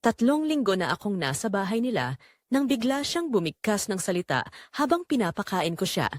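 A woman's voice: mid-range voice, big pitch swings, normal speed, no room sound, studio quality. A woman with a Filipino accent narrating a scripted first-person drama as an earnest young caregiver confessing her inner turmoil.

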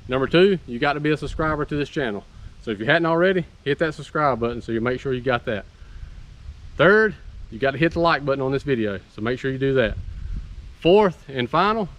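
A man's voice: mid-range voice, background noise. A man, giving a casual, unscripted product review in a plain, folksy way. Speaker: product reviewer and channel host. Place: outdoors in leafy woods beside a motorcycle.

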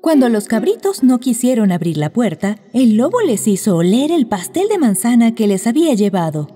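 A woman narrates a children's bedtime story in a mellow voice. Her delivery is lively in pitch, with faint music underneath. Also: normal speed, husky, big-room sound.

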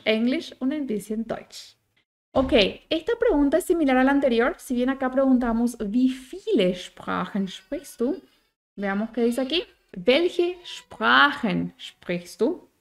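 A woman, giving a language lesson with deliberate enunciation and frequent little check-in tags. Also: fairly high pitch, gravelly. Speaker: German teacher. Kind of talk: bilingual language lesson, explaining and modelling phrases. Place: small indoor room at home.

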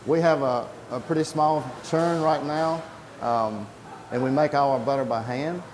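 A man's voice: fairly high pitch, measured pace. A middle-aged man explaining a production process step by step in a plain-spoken interview style. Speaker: creamery worker explaining production. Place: indoor creamery processing room with steel tanks. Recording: echoey room, loud equipment noise.

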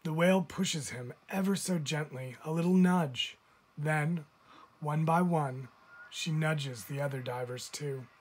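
A man reading a children's picture book aloud like a teacher, with expressive emphasis. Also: on the high side, unhurried. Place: indoors, book filmed from above.

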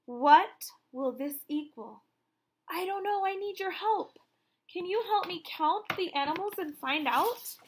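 Woman, high-pitched, slow-paced, exaggerated wonder, children's read-aloud and counting lesson, questioning the listener. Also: lively intonation, a little hiss or hum. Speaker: teacher reading a picture book aloud.

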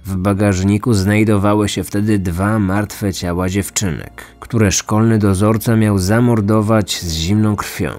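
Male podcast narrator, low register, serious scripted crime storytelling, faint music bed. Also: a little mellow. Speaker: true crime podcast host. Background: background music.

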